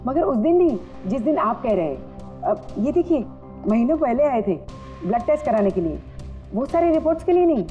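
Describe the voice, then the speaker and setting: A defensive lab employee in a TV crime drama, with a fairly high but soft-edged, mellow voice. She sounds insistent, and the audio is slightly muffled with faint music. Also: very animated, brisk.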